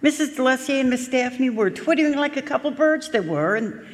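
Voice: high-pitched, lively intonation, brisk. A performer playing a frazzled, loyal head housekeeper, deferential and earnest, in a live radio drama. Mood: sorrowful, loyal. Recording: echoey concert hall.

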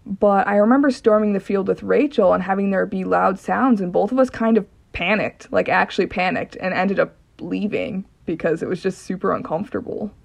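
A woman's voice: medium pitch, a little fast, gravelly, hardly any pauses. A young woman casually recounting a personal experience in an interview. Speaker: interviewee in an audio documentary.

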